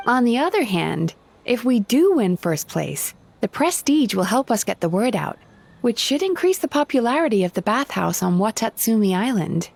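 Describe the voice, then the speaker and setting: An easygoing young therapist in an anime game, thoughtful and modest. Her fairly high voice is lively in pitch, with creaky edges. Music plays behind. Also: moderate pace, heavily acted. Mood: reasoning.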